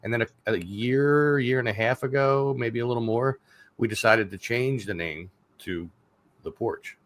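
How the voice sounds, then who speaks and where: A man's voice: medium pitch, moderate pace, dry recording. A man talking casually as a panelist on a chat show, with a dry, joking manner.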